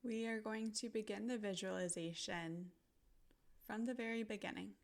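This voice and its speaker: A woman narrating a guided visualization in a gentle, soothing manner, pausing deliberately between short phrases. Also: medium pitch, steady tone, creaky.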